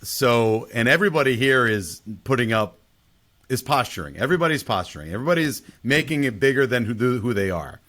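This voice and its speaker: A male podcast host with an average-pitched voice. He talks casually about film in a conversational style and passes questions on to the other speakers. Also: big pitch swings, fairly quick, a bit bright.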